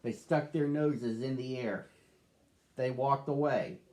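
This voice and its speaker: A man's voice: mid-range voice, slow, darkish tone, close to an everyday voice. A man narrating as a sad, lonely young male dog in a children's storybook, reading earnestly. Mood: wistful.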